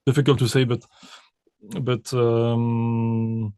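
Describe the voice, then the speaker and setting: A man from Belgium, a composer and pianist, answering interview questions in halting with many restarts and repeated words. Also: mid-range voice, level tone, a little mellow, clean tone, no echo.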